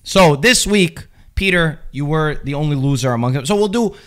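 A man's voice: fairly high pitch, expressive, normal speed, fairly bright tone, clean tone. Man hosting a casual sports podcast. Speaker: podcast host. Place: podcast studio.

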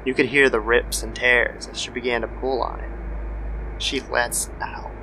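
A man reads a scripted horror tale as narrator. His mid-pitched voice has heavy gravelly fry, and clear noise sits behind it in the recording. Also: little pitch movement, unhurried. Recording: noisy background ambience.

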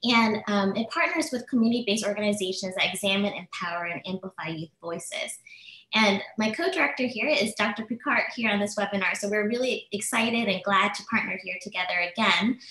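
A woman's voice: medium pitch, a little fast, sharp tone, roomy sound, low-quality recording. Earnest woman speaking on a webinar. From United States. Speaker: assistant professor speaking as webinar panelist. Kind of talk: prepared remarks on a webinar. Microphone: video call.

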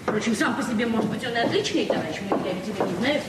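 A woman's voice: fairly high pitch, expressive, very fast, lightly acted. An actress in a radio play as a naive, talkative librarian in her forties, speaking hesitantly and repeating herself. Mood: indignant, flustered. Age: 40s. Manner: flustered, hesitant, chatty, repeating words. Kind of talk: naturalistic Soviet radio drama acting. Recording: echoey, distant, noisy 1970s radio recording.

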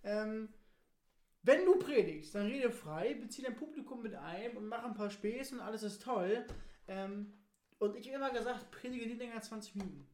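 A woman's voice: a little high, normal speed, darkish tone, roomy sound, slight background noise. A woman co-hosting a podcast, chatting casually in a blunt, opinionated and emphatic way. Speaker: podcast co-host. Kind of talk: casual conversational podcast chat.

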